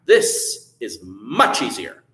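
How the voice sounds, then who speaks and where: A man's voice: fairly low pitch, huge pitch swings, slow, fairly bright tone, echoey room, a little hiss or hum, pitched below the performer's own voice, exaggerated voice. A man voicing a silly grown man who sounds earnest and flustered.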